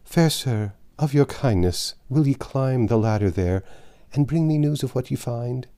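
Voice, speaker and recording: A man's voice: medium pitch, expressive, a little slow, mellow, clean tone. Casting: male narrator as gaunt dying peasant wife, storybook tale, archaic and fervent, full of bitter grief. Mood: weary pleading. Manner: impassioned archaic speech.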